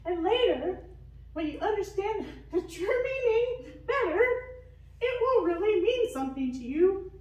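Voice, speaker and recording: A woman's voice: very high-pitched, animated, a little slow, a little mellow, highs cut off. A woman voicing a cheery, encouraging puppet Sunday-school teacher with a singsong delivery. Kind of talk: children's church puppet show. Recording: echoey room, distant mic.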